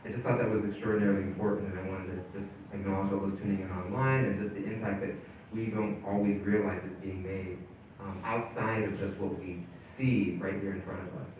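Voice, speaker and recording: A man's voice: on the low side, darkish tone, distant mic, slight background noise. Man speaking in an echoey hall. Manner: halting, with many fillers and restarts. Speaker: community leader presenting at a town hall. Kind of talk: casual spoken presentation.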